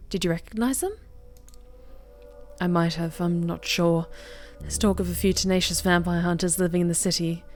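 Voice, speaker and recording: A young woman streamer reading a visual novel aloud, with expressive bursts of excited commentary. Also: on the low side, moderate pace, soft background music. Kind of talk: game narration with live reactions.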